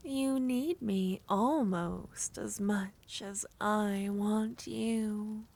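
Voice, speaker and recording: Woman doing a soft whispery witch, teasing and clingy, talks super slow. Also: mid-range voice, gravelly. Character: seductive possessive female witch, teasing and scheming. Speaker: ASMR roleplay performer. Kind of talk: ASMR roleplay.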